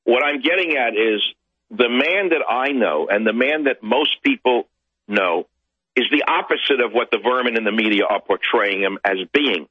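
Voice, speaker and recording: A male guest on a political talk show, ranting indignantly and climbing to loud outbursts. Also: medium pitch, moderate pace, husky, dry recording, highs cut off. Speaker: guest commentator.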